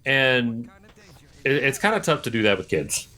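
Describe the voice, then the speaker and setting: A man's voice: mid-range voice, animated, moderate pace, pushed and bright. A man in his thirties co-hosting a podcast, explaining things in casual chat with hesitant, restarted phrases. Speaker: podcast co-host.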